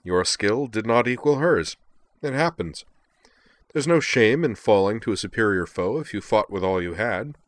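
A proud reptilian fencer of a storybook , acted out by a male reader, speaks with measured, flowery courtesy. His tone is calm and polite with a cutting edge, in a low register, recorded close and dry. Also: no echo, close to an everyday voice. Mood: matter-of-fact. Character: courteous, proud lizard-like alien swordsman, calm, cheerfully cutting. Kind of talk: acted dialogue in a narrated story.

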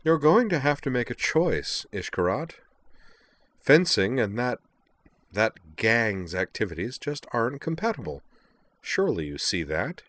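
A man's voice: sits low, big pitch swings, normal speed, a bit bright, dry recording. A man voicing a haughty, hot-tempered young woman who captains a fencing club in a narrated story, imperious and indignant. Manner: imperious, indignant, clipped and sputtering. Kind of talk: acted dialogue in a narrated story.

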